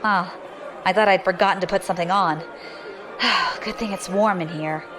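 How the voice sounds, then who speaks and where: A woman's voice: on the low side, moderate pace, over music, a crowd in the background, lightly acted, low-quality recording. A woman playing a defiant, ambitious young adventurer in an audio drama, wry and guarded in manner.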